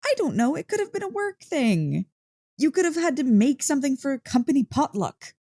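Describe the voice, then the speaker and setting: Soft, animated, excitable dog-girl played by a woman. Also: high voice, a little fast, dry recording, studio quality. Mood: flustered, eager. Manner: playful, expressive, mock-indignant teasing. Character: excitable, affectionate dog-girl girlfriend, playful and easily flustered. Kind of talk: ASMR roleplay, intimate one-sided dialogue. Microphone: close, dry microphone.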